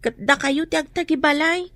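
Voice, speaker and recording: Radio drama eldest daughter: very high voice, young, earnest, worried, responsible. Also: female voice, moderate pace, a bit bright, no echo. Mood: reproachful, worried. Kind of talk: Ilocano radio drama acting.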